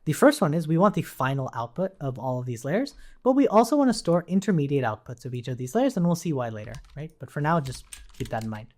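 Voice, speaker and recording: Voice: mid-range voice, big pitch swings, quick pace, a little mellow. An instructor giving an informal live coding tutorial, thinking aloud while typing and often checking in with the listener, with keyboard sounds behind. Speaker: programming instructor. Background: computer keyboard typing.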